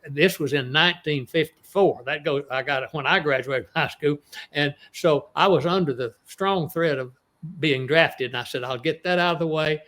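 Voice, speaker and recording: A man's voice: mid-range voice, a bit bright. A man in his eighties with an East Texas accent, an author telling stories in an interview, rambling with many restarts. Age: 80s. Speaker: author guest. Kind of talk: casual interview storytelling.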